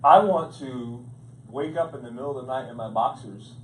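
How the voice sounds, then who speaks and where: A male pastor preaching a humorous sermon, telling jokes in a playful, animated way. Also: medium pitch, normal speed. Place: large church hall. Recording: echoey hall, distant mic, background noise.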